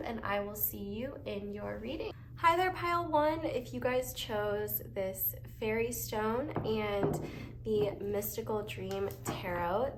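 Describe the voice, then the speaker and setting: A woman's voice: mid-range voice, a little hiss or hum. A young woman getting over a cold, giving a chatty, upbeat tarot reading with lots of fillers. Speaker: tarot reader. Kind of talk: casual pick-a-card tarot reading. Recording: echoey room with faint ambience.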